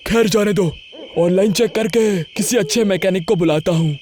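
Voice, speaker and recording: A man's voice: high-pitched, moderate pace, darkish tone, natural voice. An actor playing a practical young male traveller in a horror story, matter-of-fact in manner. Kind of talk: audio horror story acting.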